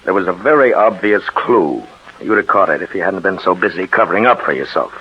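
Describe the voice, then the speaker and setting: An actor playing a shrewd, steady detective in a 1940s radio drama, assured and insistent as he explains. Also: deep voice, brisk. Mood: assured, explanatory. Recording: muffled, husky-sounding 1940s radio recording.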